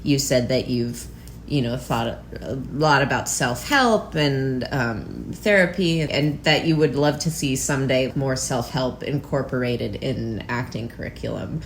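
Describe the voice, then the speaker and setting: A woman's voice: rumbling low, measured pace, slight background noise. A woman hosting a casual interview, speaking informally and saying "like" a lot. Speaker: interview host. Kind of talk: casual chat.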